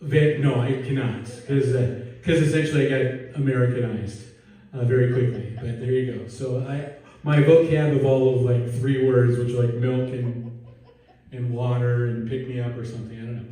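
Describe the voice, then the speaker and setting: A male pastor preaching a relaxed, joking sermon and asking his congregation questions, recorded in a church sanctuary from a distance. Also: mid-range voice, normal speed. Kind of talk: conversational sermon. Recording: echoey hall, distant mic.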